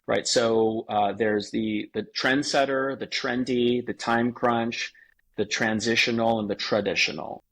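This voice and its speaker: A man's voice: medium pitch, steady tone, a little slow, fairly bright tone. A man giving conversational expert advice as a podcast guest, explaining things point by point. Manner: explanatory, lists points in series, acts out sample phrases. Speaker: podcast guest, sales coach.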